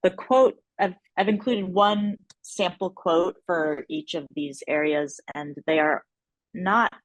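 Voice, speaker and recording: A woman presenting feedback informally at a workshop, with measured, hesitant phrasing and searching pauses. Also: fairly low pitch, no echo, low-quality recording. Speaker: workshop presenter on an evaluation team.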